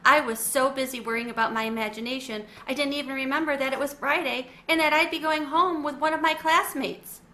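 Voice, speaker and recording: A woman reading a children's chapter book aloud with expressive, animated storytelling. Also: high voice, a little fast, few pauses. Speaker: storybook reader. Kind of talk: children's read-aloud narration.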